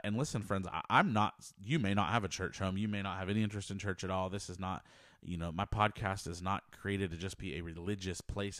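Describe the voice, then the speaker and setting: A man's voice: low-pitched, a bit bright, no room sound. A man in his thirties hosting a podcast from a small home office, talking earnestly into a close handheld mic, rambling and restarting his sentences. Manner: earnest, rambling, repeats words and restarts often. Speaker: podcast host. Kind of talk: personal faith podcast monologue. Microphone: handheld dynamic mic close to mouth.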